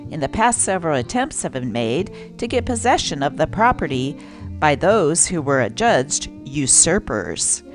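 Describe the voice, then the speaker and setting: A woman host reading vintage newspaper stories aloud as scripted narration, with deliberate, expressive phrasing. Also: fairly low pitch. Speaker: channel host and newspaper reader.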